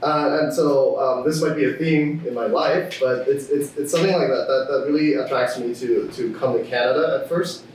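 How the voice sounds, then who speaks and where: A man's voice: medium pitch, moderate pace, hardly any pauses. A young man from Thailand, a student guest on a podcast, talking casually with many fillers and restarts. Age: young adult. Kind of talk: casual interview chat. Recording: echoey room, distant from mic.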